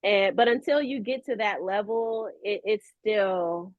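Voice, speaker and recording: An American woman chatting casually as an interview guest, expressive, earnest and effusive in how she talks. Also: medium pitch, unhurried, dry recording, muffled recording. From California, USA. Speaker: voice actress, podcast guest.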